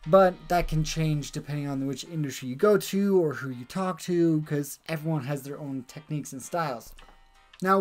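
A woman narrating over a screen recording of her digital painting, explaining her process in a relaxed, thinking-aloud way. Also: low-pitched. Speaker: digital artist.

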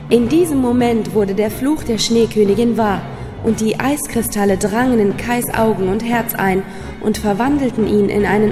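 Woman, children's fairy tale narrator, mid-range voice, reverberant, music behind. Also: medium pitch. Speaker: storyteller, narrator. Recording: long reverb, music underneath.

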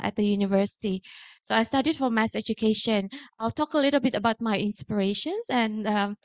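A middle-aged Indonesian woman speaks as a guest lecturer, her voice set a little high. She talks casually and modestly, filling pauses with ums. The sound is dry and close on a handheld mic. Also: dry recording. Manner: chatty, self-deprecating, many fillers. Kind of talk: public lecture. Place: lecture hall.

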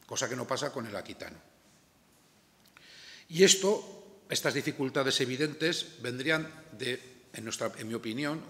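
A man's voice: mid-range voice, fairly bright tone. An older man with an accent from Spain giving an informal, emphatic lecture, often digressing and asking rhetorical questions. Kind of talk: informal academic lecture to an audience. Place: indoor lecture room, seated at a table. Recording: echoey lecture room recording.

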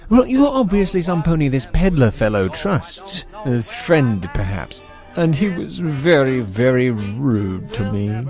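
Guy doing a cruel theatrical pony commander for an audio drama, mock sweet, pitch all over the place. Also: sing-song, darkish tone, faint music behind. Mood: mocking menace. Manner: mocking, drawn-out mock politeness. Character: gleefully sadistic, theatrical pony airship commander.

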